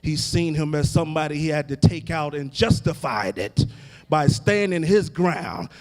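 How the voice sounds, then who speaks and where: A fairly high-voiced American man preaches in a big hall with long reverb. He is a Baptist pastor delivering an impassioned sermon. His delivery is emphatic and oratorical, leaning on deliberate repetition and a building cadence. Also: darkish tone. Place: large indoor hall, live event.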